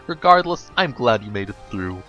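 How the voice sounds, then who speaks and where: Man as storybook girl friend, caring, hushed, casual, animated intonation, music underneath. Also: lowish voice, moderate pace, dull audio, voice pushed down. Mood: gentle concern.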